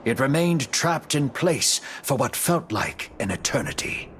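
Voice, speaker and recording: A man narrating a video game story cutscene in a measured, dramatic storytelling manner. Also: mid-range voice, very animated, a bit bright, slight background noise. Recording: music under the voice. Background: music.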